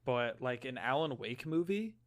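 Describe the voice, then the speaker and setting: A man's voice: mid-range voice, expressive, normal speed. A man co-hosting a podcast, chatting casually about games in an informal, rambling way. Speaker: podcast co-host.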